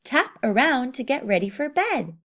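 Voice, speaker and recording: Lively, high-pitched woman reading a children's storybook. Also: normal speed. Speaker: storybook narrator. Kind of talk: children's read-along narration. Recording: music behind the voice. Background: music.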